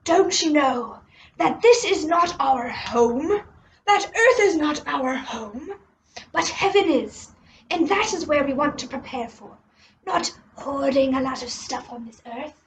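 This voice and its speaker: A woman voicing Jesus as a solemn teacher for children, slow and theatrical, with hushed breathy words. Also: sits high, animated, exaggerated voice. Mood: solemn teaching. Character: grand, solemn Jesus teaching his disciples. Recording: slightly dull recording with faint noise.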